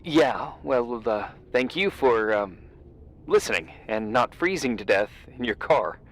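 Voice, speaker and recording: A man's voice: mid-range voice, animated, measured pace, close to an everyday voice. An actor playing a good-natured, eager American man in a video game, hesitant and stammering as he helps out. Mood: awkward, eager. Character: helpful, awkward, eager man on the other end of phone.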